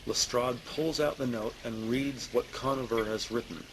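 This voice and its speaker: Gravelly male narrator over noisy ambience. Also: mid-range voice, fairly even intonation, moderate pace. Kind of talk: scripted scene-setting narration.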